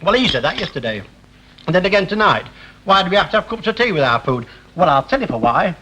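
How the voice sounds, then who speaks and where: A man's voice: sits high, sing-song, quick pace, pushed and bright, heavily acted. An actor playing a grumbling, domineering middle-aged working-class father with a Lancashire accent, blunt and needling, in a 1960 British television soap. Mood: indignant. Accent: Northern English, Lancashire. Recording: faintly noisy 1960 television soundtrack.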